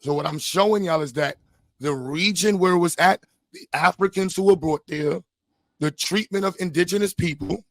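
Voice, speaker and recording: Male debate host, higher-pitched and a touch hoarse, presses points by repetition, dry close sound. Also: normal speed, dry recording. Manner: insistent, repeats himself. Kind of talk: live debate, reading sources aloud.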